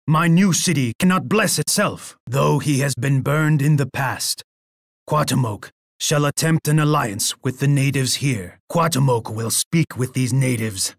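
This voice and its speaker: A bloodthirsty aztec emperor in a video game, raspy voice, takes his time, very proud and boastful. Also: mid-range voice, measured pace, no echo, studio quality. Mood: lordly. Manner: imperious, boastful, speaking of himself in third person. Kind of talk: video game character voice lines.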